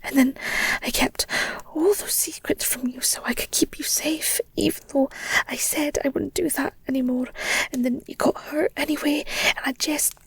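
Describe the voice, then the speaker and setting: A woman playing a devoted, guilt-ridden werewolf girlfriend in an intimate audio roleplay, speaking earnestly and haltingly in a breathy whisper. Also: high voice, fairly even intonation. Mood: anguished, protective. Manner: hesitant, halting, earnest, tender.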